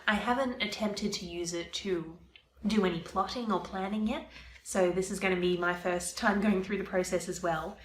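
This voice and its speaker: A woman's voice: fairly low pitch, roomy sound, a little hiss or hum. A young woman with a stuffy head cold, chatting casually to camera about planning her novel. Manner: chatty, explains her plans step by step. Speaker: vlogger, aspiring fiction author. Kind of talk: casual vlog talk to camera.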